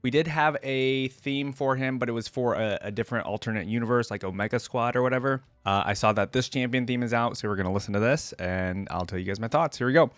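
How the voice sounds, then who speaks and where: A man's voice: mid-range voice, quick pace, hardly any pauses, a bit bright, dry recording. A man giving enthusiastic, informal reaction commentary as the host of a fan channel.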